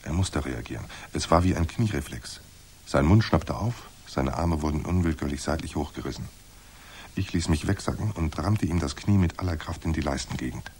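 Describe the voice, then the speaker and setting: Hard-boiled crime narrator, extremely low, husky, dry and terse delivery. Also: male voice, expressive, normal speed, a little mellow, full character voice. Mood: suspenseful. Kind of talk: scripted noir narration in radio drama.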